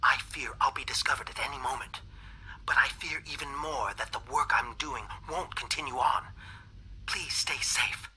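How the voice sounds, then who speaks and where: An actor playing a fearful dissident alien scientist in a game cutscene, speaking in a measured, grave manner. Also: male voice, medium pitch, bright, forceful tone, a little hiss or hum, poor audio.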